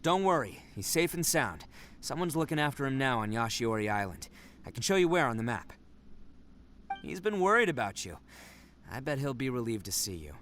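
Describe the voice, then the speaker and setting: A man's voice: mid-range voice, very animated, normal speed, a bit bright, a little hiss or hum. An actor voicing a brash, boastful young male oni brawler in a video game, boisterous and self-assured.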